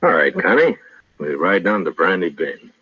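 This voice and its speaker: An actor playing a wry, laconic frontier marshal in a radio western, with a dry, understated and world-weary manner. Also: male voice, sits low, sing-song, moderate pace, heavy background noise. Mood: courting, guarded. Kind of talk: 1950s radio western acting. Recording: muffled, echoey vintage radio recording.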